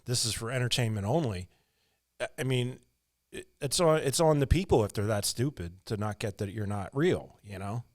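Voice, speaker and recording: A man's voice: medium pitch, lively intonation, moderate pace, dry recording, clean studio sound. A man co-hosting a show, chatting casually with off-the-cuff commentary. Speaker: podcast co-host.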